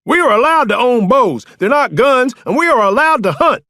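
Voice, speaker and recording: Shrill, indignant scrawny poacher. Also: male voice, very animated, moderate pace, sharp tone, no echo, clean studio sound, full character voice. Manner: belligerent, complaining, rustic. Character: indignant, scrawny, foul-mouthed poacher man in handcuffs. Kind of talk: audiobook character acting.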